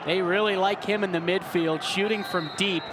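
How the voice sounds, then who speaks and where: A man's voice: sits high, fairly even intonation, normal speed, crowd noise, noisy background. A man in his twenties working as a sports broadcaster, hosting and calling play-by-play. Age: 20s.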